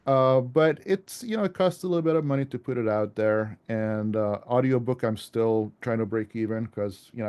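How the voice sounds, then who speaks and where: A man's voice: lowish voice, darkish tone. A man giving an informal slide presentation as an author, speaking in a conversational, self-deprecating way.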